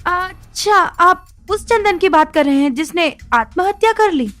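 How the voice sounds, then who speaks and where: Woman as a high-voiced, guarded young wife. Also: lively intonation, measured pace, a bit bright, no echo, faint music behind, full character voice. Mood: guarded, evasive. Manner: guarded, defensive. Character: frightened, evasive young wife with hidden bitterness. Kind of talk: audio drama acting.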